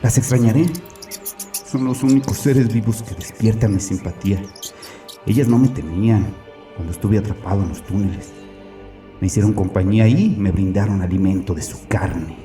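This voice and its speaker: An actor playing a tormented, fanatical murderer in hiding, in a solemn, brooding audio drama monologue. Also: male voice, on the low side, normal speed, mellow, natural voice. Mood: mournful. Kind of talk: dramatic first-person audio story monologue. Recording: echoey, distant, music behind.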